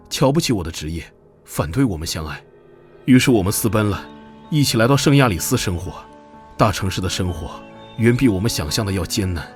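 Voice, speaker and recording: A man playing a frightened, earnest young porter who pleads desperately in an animated comic dub. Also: on the low side, lightly acted.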